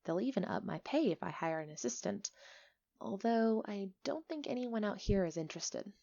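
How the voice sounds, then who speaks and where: A woman's voice: mid-range voice, normal speed, creaky. Woman acting a bubbly village alchemist, slightly muffled. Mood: candid. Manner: bubbly, expressive, slightly flustered chatter. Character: friendly, chatty, excitable female village alchemist. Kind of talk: ASMR roleplay acting, one-sided conversation.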